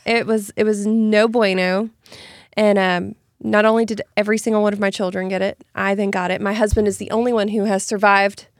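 A woman's voice: mid-range voice, level tone, fairly quick, no room sound, studio quality. Slightly nasal woman getting over flu. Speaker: podcast co-host. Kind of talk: casual chat.

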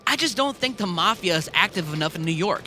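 A man's voice: high-pitched, lively intonation, fast, sharp tone, exaggerated voice. A man playing an earnest coworker turned amateur sleuth in a naturalistic audio drama, speaking casually. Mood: earnest, puzzled.

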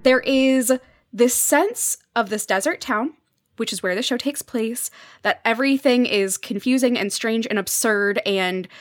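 A woman explaining things casually as a podcast guest, measured, weighing words with drawn-out emphasis. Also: fairly high pitch, dry recording, studio quality.

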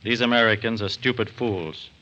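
An actor playing a composed, methodical federal investigator in a 1940s radio crime drama, matter-of-fact and authoritative. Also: male voice, lowish voice, steady tone, normal speed, bright, forceful tone. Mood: grave. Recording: dull, hissy 1940s radio recording.

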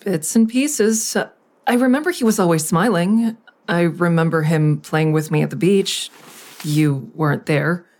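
An actress playing a plain-spoken, wounded grown daughter in naturalistic audio drama dialogue. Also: female voice, on the low side, a little slow, darkish tone, close to an everyday voice. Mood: wistful. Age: adult.

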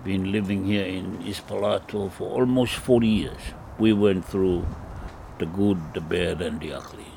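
A man's voice: deep voice, normal speed, vocal fry, dark tone, slight background noise. An older man from Tonga, speaking hesitantly with fillers, recorded in the field. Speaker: community resident.

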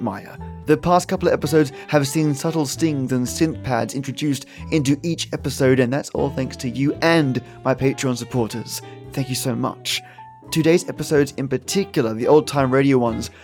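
A man's voice: medium pitch, darkish tone. A male podcast host wrapping up an episode of old-time radio. He talks in an ordinary mid-range voice, playful and generous with praise. Faint music plays behind him. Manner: effusive, playful, grateful. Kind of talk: podcast outro with listener thanks.

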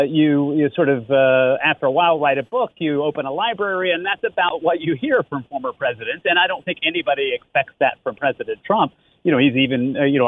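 A man giving conversational expert commentary as an interview guest, explaining things with frequent asides over a phone connection. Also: fairly high pitch. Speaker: interview guest, company chief executive. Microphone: remote phone-like connection. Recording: muffled, narrow phone-line sound.

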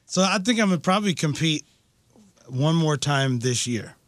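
A man's voice: on the high side, animated, normal speed, dry recording. A man in his late forties, a guest in a casual chat, answering plainly with frequent restarts. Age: 40s. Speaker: guest bodybuilder.

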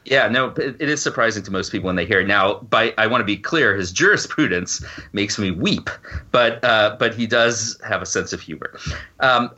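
A man's voice: on the low side, expressive, normal speed, sharp tone, lo-fi sound. A middle-aged man talking casually as a podcast guest, stammering a little and thinking aloud as he answers. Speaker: guest novelist and law professor. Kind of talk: casual conversational podcast interview.

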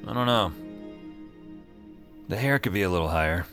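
Smartass young wizard for a fiction podcast, a bit deep, flippant and casual. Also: male voice, expressive, normal speed, a bit bright, faint music behind. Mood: casual, unsure. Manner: flippant, wry, colloquial. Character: wisecracking, unruly young man with new magic powers. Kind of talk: audio drama acting and first-person narration.

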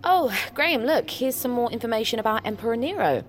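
An actress plays an earnest woman on a sightseeing trip in an audio drama. Her voice sits high and swoops in a lively way. Clear music plays underneath. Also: brisk, lightly acted. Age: adult. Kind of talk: audio fiction acting.

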